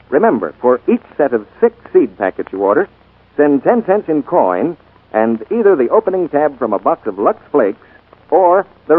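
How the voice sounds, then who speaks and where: A man announcing a scripted 1940s radio advertisement with emphatic, deliberate delivery. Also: on the high side, breathy edge, no room sound. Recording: tinny, dry 1940s radio recording.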